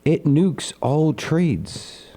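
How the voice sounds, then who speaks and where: Animated streamer man, lively mellow voice. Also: very slow. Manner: animated, rambling pitch of an idea to viewers; reads viewer comments aloud and answers them. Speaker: live streamer. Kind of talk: casual live stream chat.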